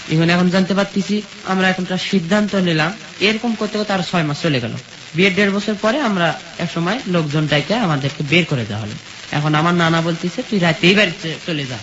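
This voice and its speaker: A young man from rural Bangladesh recounts his life step by step in plain, colloquial words, quoting what people said. His voice is high for a man, and the recording is dull with a clear sizzling noise behind him. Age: young adult. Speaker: guest telling his life story. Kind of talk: personal storytelling in an interview, quoting dialogue.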